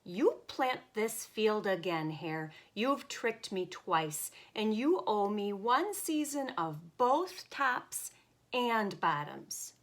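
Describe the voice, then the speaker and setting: A woman voicing a lazy, grumbling, easily duped male bear in a children's storybook, with drawn-out, slow-witted delivery. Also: mid-range voice, sing-song. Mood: indignant, grumbling.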